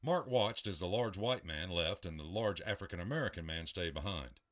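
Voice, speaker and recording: A man narrating an audio drama in a steady storytelling voice. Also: low voice, normal speed.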